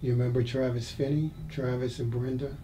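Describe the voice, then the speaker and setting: A man's voice: medium pitch, flat delivery, a little fast, noisy background. A man in his sixties interviewing an older man in casual conversation, asking prompting questions and laughing easily. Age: 60s.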